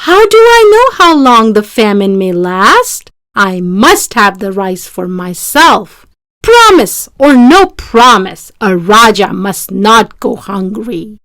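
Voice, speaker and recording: A woman's voice: mid-range voice, big pitch swings, slow pace, fairly bright tone, no room sound. A woman voicing a proud, selfish king from a folktale in an imperious, exclamatory manner. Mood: indignant, selfish.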